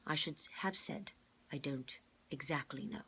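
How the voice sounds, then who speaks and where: A woman voicing a grave, gentle older male mentor with a measured, formal way of speaking, reading a children's storybook aloud. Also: deep voice, husky, heavily acted. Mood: calm, apologetic. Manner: measured, formal, careful wording.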